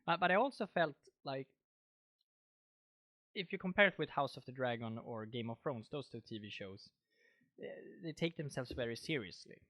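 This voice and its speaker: A man with a Swedish accent hosting a casual fantasy podcast, chatty and quick to laugh. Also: mid-range voice. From Sweden. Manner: relaxed, chatty, laughs easily. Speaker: podcast host. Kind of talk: casual chat.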